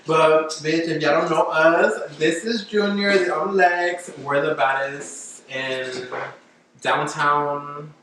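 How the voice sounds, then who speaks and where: Echoey, high-voiced sassy man. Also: fairly even intonation, takes it slowly, fairly bright tone. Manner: sassy, slangy, profane banter; giggly. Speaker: podcast host. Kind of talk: casual podcast chat. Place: echoey room. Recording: echoey room, far mic, faint noise.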